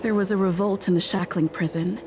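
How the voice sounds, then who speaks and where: A woman voicing a composed young woman in a game story, giving a calm report. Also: medium pitch, a little fast, noisy background, close to an everyday voice. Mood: calm, reassuring. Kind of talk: acted game story dialogue.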